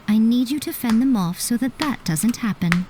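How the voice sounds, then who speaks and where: A woman playing a composed, playful fox shrine maiden in a video game, speaking in a poised, measured, gently teasing way. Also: fairly high pitch, animated, background noise, lightly acted. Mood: calm, instructive. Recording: game audio with music behind. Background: music.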